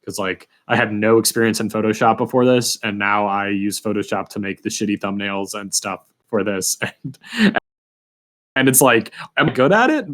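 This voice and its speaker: A man's voice: fairly low pitch, expressive, moderate pace, a bit bright. A young man hosting an interview in a casual, informal and self-deprecating way. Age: young adult. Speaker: podcast host, student.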